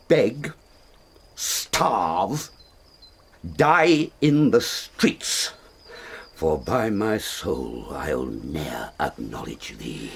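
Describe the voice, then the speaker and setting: Angry old-school british lord dad, radio shakespeare, gravelly, huge pitch swings, bit of background noise. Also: male voice, exaggerated voice. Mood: cold menace. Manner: explosive, theatrical tirades. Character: raging, domineering older nobleman father. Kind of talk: Shakespearean radio drama acting.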